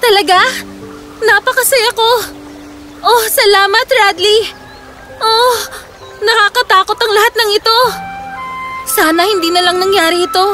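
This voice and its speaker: A sweet young princess in a children's cartoon fairy tale. Her voice is extremely high and girlish, hesitant and wistful, over prominent music. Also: unhurried, long pauses, pushed and bright, full character voice. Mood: relieved, shaken. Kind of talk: animated children's story acting.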